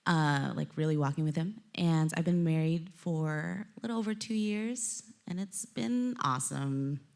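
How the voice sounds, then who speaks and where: A woman's voice: deep voice, measured pace, creaky, darkish tone. Bubbly woman in her twenties. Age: 20s. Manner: upbeat, bubbly. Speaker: panel guest.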